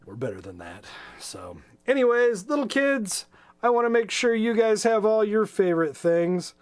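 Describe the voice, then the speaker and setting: A man's voice: sits high, very animated, normal speed. A middle-aged American man hosting a children's storytime stream with casual, friendly, rambling and hesitant talk. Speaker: volunteer storytime host for children. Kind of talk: casual livestream chat.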